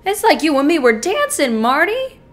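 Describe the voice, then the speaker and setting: A woman's voice: very animated, a bit bright, heavily acted. A woman acting out a dramatic film monologue as a manipulative, theatrical killer talking to a lawyer. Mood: mocking. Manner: foul-mouthed, taunting, theatrical, halting. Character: manipulative killer client, meek at first, then gloating and mocking. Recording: faint noise and low rumble.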